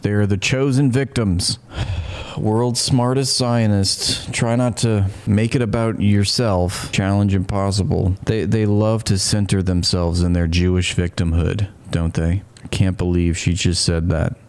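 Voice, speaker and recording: Deep-voiced man with sardonic commentary. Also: fairly even intonation, a little slow, darkish tone. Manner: mocking, sardonic asides. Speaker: reaction commentator. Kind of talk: sarcastic reaction commentary.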